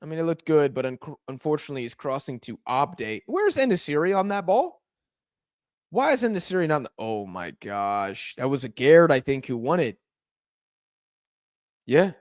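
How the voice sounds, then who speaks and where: Hoarse, excitable man calling a live match. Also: a little high, moderate pace, no room sound, studio quality. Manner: excitable, shouts at big chances. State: hoarse, losing voice. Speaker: watch-along host. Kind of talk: live match watch-along commentary.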